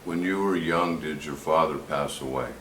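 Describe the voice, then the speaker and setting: A man's voice: extremely low pitch, moderate pace, a bit bright, echoey room, a little hiss or hum, low-quality recording. A man working as an attorney who questions a witness in a courtroom, asking short, direct questions. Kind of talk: direct examination.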